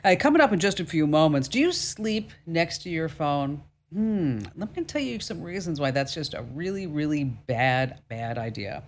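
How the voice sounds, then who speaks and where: A woman's voice: deep voice, expressive, moderate pace, hardly any pauses, a little hiss or hum. A woman hosting a call-in radio show, giving tech advice in a chatty, upbeat and reassuring way with many fillers.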